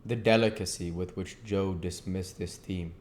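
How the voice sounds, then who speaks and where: A man's voice: deep voice, steady tone, normal speed, a little mellow, slight background noise. A man reading a classic novel aloud as an amateur audiobook narrator.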